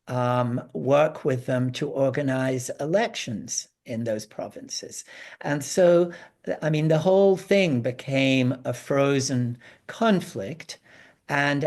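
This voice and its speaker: A man with a mid-pitched voice talks as a guest analyst on a panel. His delivery is very slow and halting, with repeated words and hesitations as he explains things informally. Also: darkish tone.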